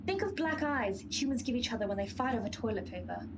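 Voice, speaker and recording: A haughty horse of Pestilence, acted by a woman, speaks in a fairly high voice over a noisy bed of sound. The delivery is pompous and exasperated, like a put-upon lecturer in a radio comedy. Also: big pitch swings, quick pace, dull audio. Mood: brisk, pompous. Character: haughty, long-suffering female horse of Pestilence, bossy explainer. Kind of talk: comic radio play acting.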